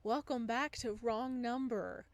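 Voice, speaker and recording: A woman's voice: fairly high pitch, steady tone, unhurried, dry recording. A woman gaming streamer with a giggly, playful manner, reading visual novel text aloud and commenting as she plays.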